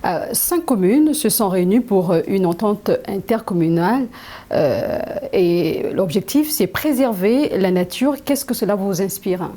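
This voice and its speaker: Mellow-voiced woman presenter. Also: mid-range voice, lively intonation, a little slow. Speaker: news show presenter. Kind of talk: studio interview hosting. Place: TV studio.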